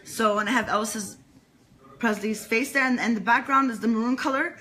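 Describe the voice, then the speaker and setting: Bright, high-voiced woman, earnest and emphatic. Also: brisk, a little hiss or hum, poor audio. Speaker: interview guest, fan club leader. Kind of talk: enthusiastic casual interview chat.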